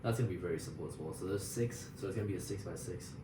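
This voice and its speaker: A young man softly working through discrete math homework, thinking aloud in a half-whispered ASMR study-along. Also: low-pitched, very fast. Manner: soft-spoken, half-whispered, mumbling through math steps. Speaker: student. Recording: echoey room, distant mic, loud background hiss.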